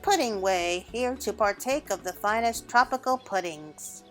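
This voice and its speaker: A woman's voice: medium pitch, a little slow, a bit bright, faint music behind, lightly acted. A woman voicing a small, eager, theatrical rabbit creature in a video game, speaking in a florid, exclamatory way. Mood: eager, chatty.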